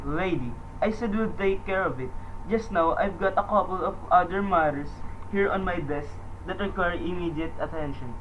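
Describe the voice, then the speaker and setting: A man's voice: sits high, normal speed, close to an everyday voice. A man playing an unruffled, patronizing big-city police sergeant in a radio drama, matter-of-fact and placating. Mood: placating, impatient. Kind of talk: old-time radio drama acting. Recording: dull lo-fi audio with hiss.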